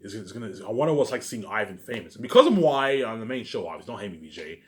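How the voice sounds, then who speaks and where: A man with a somewhat low voice talks very fast to his online viewers. He rambles and boasts, swearing freely and repeating his phrases, and his delivery jumps into louder bursts before settling back down. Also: very animated. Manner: repetitive, restarting phrases over and over; profane, boastful ranting with sudden loud bursts.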